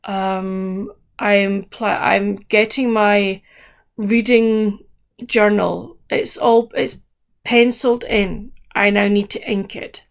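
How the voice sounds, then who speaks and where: A woman chatting casually to camera as a book vlogger, her voice croaky, in a meandering, soft-spoken way. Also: medium pitch, steady tone, slow.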